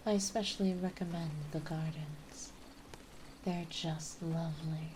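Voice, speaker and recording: A woman playing a gentle, dominant vampire mistress in an intimate ASMR roleplay, soft and breathy, often whispering, with a refined, coaxing manner. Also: low voice, steady tone, noisy background. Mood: warm, reassuring. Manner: slow, coaxing, refined and reassuring.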